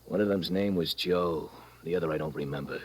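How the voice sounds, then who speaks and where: A groggy, sorry sailor from a vintage radio play. The voice is very deep and rough with huskiness, and sounds downcast. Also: male voice, fairly quick. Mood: groggy, sheepish. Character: groggy, downcast sailor jailed after a drinking binge. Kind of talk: vintage radio drama acting.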